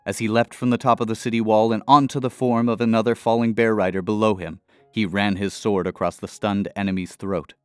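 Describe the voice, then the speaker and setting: A man narrating a scripted fantasy adventure in a dramatic, measured storytelling manner. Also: on the low side, little pitch movement, clean tone, no echo.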